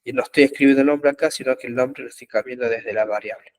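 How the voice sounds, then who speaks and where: A man teaching an online web development class, explaining code slowly with frequent pauses and fillers. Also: medium pitch, steady tone, no room sound. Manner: slow, halting explanation with many pauses and fillers. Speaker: programming instructor. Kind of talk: live online coding class. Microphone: computer mic in an online class.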